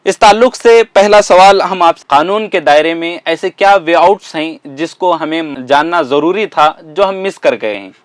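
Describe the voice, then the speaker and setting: Man, somewhat high pitch, interview host, polite, formal questioning, dry recording. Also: moderate pace, hardly any pauses, smooth, no echo.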